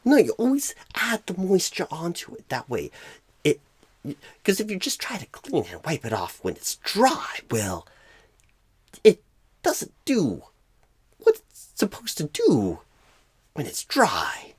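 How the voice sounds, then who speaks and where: A man voicing a clueless, flustered job recruiter in an ASMR roleplay, rambling with odd pauses mid-sentence. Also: high-pitched, huge pitch swings, husky, no echo, full character voice. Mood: fussy, rambling. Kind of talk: ASMR roleplay, comic improvised monologue.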